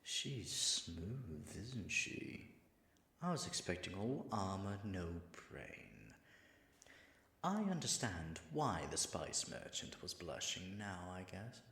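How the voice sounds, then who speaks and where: A man acts out a male dragon passing as human in a fantasy audio roleplay. He is nervous and flirtatious, with a lowish voice that swoops widely up and down. He speaks slowly and haltingly, stammering and slipping into muttered asides. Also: very animated, creaky, bright, forceful tone, breathy edge, full character voice. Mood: shy, musing. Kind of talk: audio roleplay addressed to the listener.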